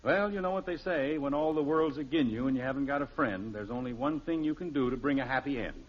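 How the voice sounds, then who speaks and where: A man's voice: medium pitch, fast talker, natural voice. An actor playing a grumbling, stubborn middle-aged American father, wry and exasperated, in a 1950s radio sitcom. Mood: wry, put-upon. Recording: muffled, faintly noisy old radio recording.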